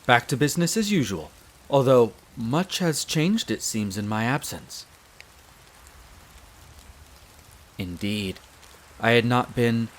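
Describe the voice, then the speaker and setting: A man playing a courteous, devoted wolf butler in a roleplay audio drama, speaking in a formal, measured and deferential way. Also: mid-range voice, lightly acted. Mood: earnest, slightly worried. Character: courteous, devoted male wolf butler, head servant of vampire castle.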